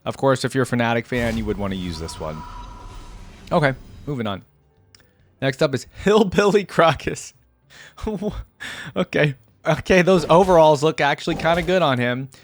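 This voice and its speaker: A man's voice: fairly high pitch, expressive, normal speed, long pauses. A man giving casual reaction commentary in a conversational way, thinking aloud as he goes. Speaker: gaming video creator, reactor. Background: music.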